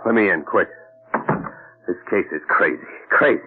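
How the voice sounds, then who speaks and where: A brash, tough sidekick. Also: male voice, low voice, animated, moderate pace, very noisy. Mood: excited. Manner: blunt, breathless reporting. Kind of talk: 1940s radio acting. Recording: tinny old radio broadcast.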